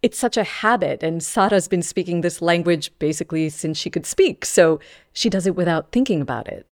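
A woman's voice: lowish voice, a little fast. A woman reporter telling a story in a conversational podcast style.

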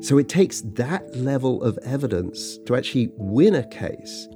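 A man hosting a podcast, narrating and chatting in a measured, conversational way. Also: medium pitch, big pitch swings, music in the background. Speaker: podcast host. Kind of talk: scripted narration and chat.

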